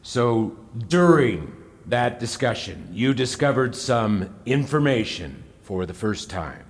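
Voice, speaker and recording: A senator in an audio drama, cross-examining in a reverberant hall. He is formal and deliberate, with pointed questions and a condescending edge at a medium pitch. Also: big pitch swings. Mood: formal, probing. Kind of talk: audio drama courtroom acting.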